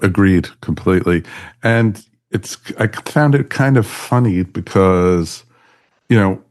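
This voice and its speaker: A man with a low voice hosts a casual review chat. He speaks informally, with loose asides and repeated phrases. The recording is dry and free of room sound. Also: dry recording. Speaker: podcast host.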